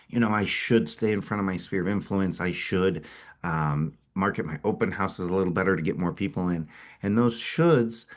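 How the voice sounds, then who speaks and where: Man, middle-aged, low voice, head cold, sniffly, energetic webinar pitch, repeats words. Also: moderate pace. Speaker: company founder presenting a webinar. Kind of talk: webinar sales presentation.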